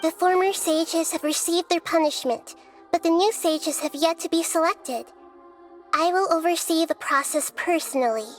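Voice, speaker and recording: A woman voicing a small, childlike but wise goddess in a video game, speaking in a gentle, measured, formal way. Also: very high voice, faint music behind. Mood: thoughtful, earnest.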